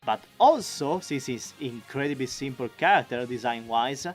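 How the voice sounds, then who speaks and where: An enthusiastic man narrates a chatty recap in the style of an online creator. His pitch moves a great deal and his delivery is expressive. Soft music plays underneath. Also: moderate pace. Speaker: video creator and amateur voice actor.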